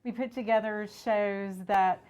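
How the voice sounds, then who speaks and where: A woman's voice: medium pitch, steady tone, unhurried, darkish tone, clear, resonant tone. A woman speaking as a theater director, explaining things in an interview.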